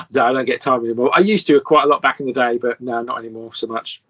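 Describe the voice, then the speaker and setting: Fast-talking goofy British man in his fifties. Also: mid-range voice, sharp tone, highs cut off. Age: 50s. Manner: goofy, self-deprecating. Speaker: musician guest. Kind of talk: casual interview chat.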